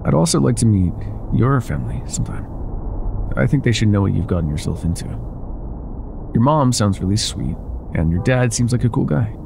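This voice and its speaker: A man playing an older ex-mafia boyfriend, wry, tender and self-doubting, with a husky, breathy voice in an intimate roleplay audio. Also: lowish voice, big pitch swings, fairly quick, pauses often, noisy background. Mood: tender, teasing.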